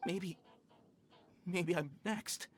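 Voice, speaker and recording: A man's voice: sits high, very slow, a little hiss or hum. A man voicing a frightened male mine worker in a game, anxious and stammering as he talks. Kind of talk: game character acting.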